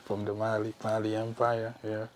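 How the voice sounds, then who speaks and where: A man's voice: on the low side, completely flat delivery, normal speed, a little hiss or hum. A man from Ghana hosting an interview, asking probing follow-up questions between short murmurs of agreement. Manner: probing, brief affirming murmurs. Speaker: interview host.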